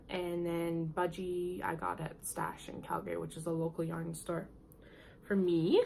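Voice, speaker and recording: A woman hosting a knitting podcast, sick and nasal, chatting in a rambling, friendly way and clearing her throat now and then. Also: on the low side, slight background noise. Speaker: podcast host. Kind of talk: casual knitting podcast chat.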